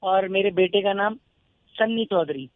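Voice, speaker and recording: A man's voice: high-pitched, a little slow, dry recording. A middle-aged man arguing on the phone as an angry customer, blunt and scolding. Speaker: prank call victim, angry customer. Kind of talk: phone argument. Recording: very noisy phone line with clicks.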